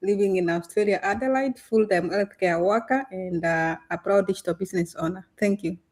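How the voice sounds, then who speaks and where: A woman from Kenya speaks on a livestream call. Her tone is soft-edged and mellow, at a middle pitch. She talks as a panelist introducing herself. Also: brisk. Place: remote online livestream call.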